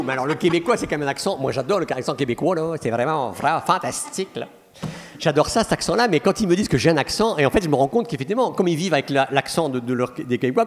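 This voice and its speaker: A Belgian man with a Belgian French accent, a humorist telling relaxed anecdotes to an audience, talking in a chatty way with many restarts. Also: a little high. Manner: chatty, frequent restarts and "voilà". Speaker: guest speaker, humorist. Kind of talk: relaxed anecdotal talk answering questions. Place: lecture hall with audience. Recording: long hall reverb.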